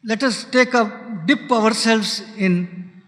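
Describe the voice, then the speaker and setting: Bright-voiced man in a hall. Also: high-pitched. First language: Tamil. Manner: reflective, halting, often drops to a soft hush. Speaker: speaker at a spiritual centre's founders day. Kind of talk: reflective spiritual talk. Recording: long reverb of a hall.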